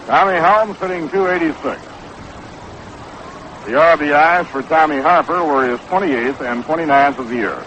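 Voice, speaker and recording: A man calling baseball play-by-play on 1960s radio, measured and descriptive, on an old broadcast recording. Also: a little high, fairly bright tone. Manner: measured, descriptive calls that quicken on action. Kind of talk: 1960s live radio sports commentary. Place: outdoor ballpark broadcast position. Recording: noisy, dull vintage 1960s radio broadcast.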